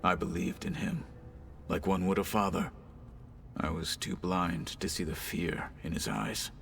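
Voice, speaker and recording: Deep gravelly stoic warrior. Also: male voice, fairly even intonation, fairly bright tone, a little hiss or hum, full character voice. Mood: solemn, guarded. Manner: formal, measured, solemn speech. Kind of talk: dramatic video game acting.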